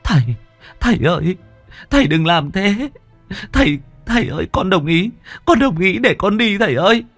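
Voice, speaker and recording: A man's voice: lively intonation, normal speed, fairly bright tone, dry recording, faint music behind, heavily acted. A man voicing a young village daughter who cries and pleads with her father. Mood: desperate. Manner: sobbing, high-pitched pleading.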